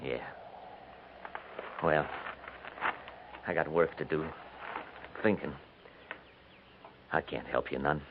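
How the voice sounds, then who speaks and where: A noisy, tinny 1950s radio western. a homesteader, a man with a very low voice and rustic, folksy speech. He is weary, stubborn and short with words. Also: steady tone, slow, long pauses, exaggerated voice. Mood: stubborn, resigned.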